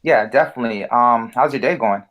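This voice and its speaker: A man's voice: mid-range voice, brisk, lo-fi sound. A man co-hosting a casual discussion show, talking with frequent ums and restarts. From United States. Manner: hesitant, many fillers and restarts. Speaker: podcast co-host.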